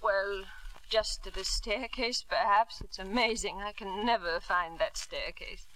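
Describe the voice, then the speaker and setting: An actress playing a bitter, grieving wife and mother, sardonic and deliberate, in old radio drama style. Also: mid-range voice, sharp tone, a little hiss or hum, poor audio. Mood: dazed, weary. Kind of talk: 1940s-50s radio drama acting.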